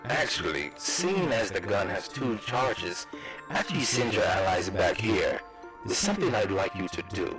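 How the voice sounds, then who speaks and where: A man voicing a calm, formal, scholarly strategist in a comic reading, explaining in measured phrases. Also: deep voice, low-quality recording. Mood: calm, serious. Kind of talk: comic book voice acting. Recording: music clearly under the voice.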